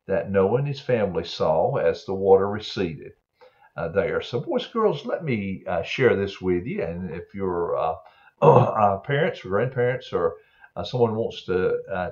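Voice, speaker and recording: Older male preacher, low voice with lively swings, slow earnest storytelling for kids, dull webcam sound from a home office. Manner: slow, earnest, many "uh" fillers, throat clearing. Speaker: preacher telling a Bible story to children. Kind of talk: gentle storytelling sermon for children. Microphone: webcam on a desk.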